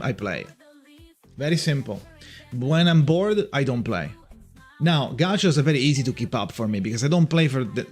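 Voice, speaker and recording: A young man with an Italian accent chats on a livestream in a middle-range voice, with soft music playing faintly under him. He rambles and restarts often, swearing casually as he goes. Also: mid-range voice. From Italy. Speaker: streamer.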